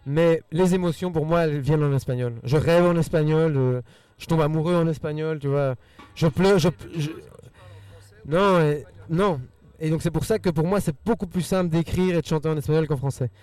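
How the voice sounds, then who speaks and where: A man with a Belgian French accent whose first language is Spanish. He answers earnestly in rushes, doubling his words, with a fairly high, mellow voice. He sits a little way back from the microphone in a dry, close sound. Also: smooth, no echo, recorded from a distance. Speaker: musician guest. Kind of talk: casual interview answers.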